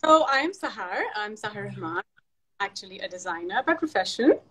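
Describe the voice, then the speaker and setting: A woman's voice: mid-range voice, expressive, fairly quick, pushed and bright, husky. A woman, chatting as an interview guest, laughing often and restarting her sentences. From Pakistan. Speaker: interview guest, fashion designer. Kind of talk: casual video call interview.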